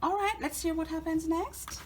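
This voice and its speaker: A woman's voice: very high voice, fairly even intonation, moderate pace, background noise. A woman chatting casually with a young child, guiding and prompting the reading in an encouraging, patient way.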